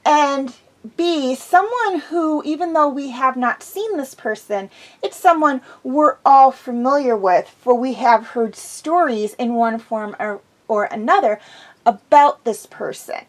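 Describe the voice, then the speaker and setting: A woman's voice: high-pitched, animated, unhurried. A woman host chatting casually and enthusiastically through an episode review, slightly congested from a cold.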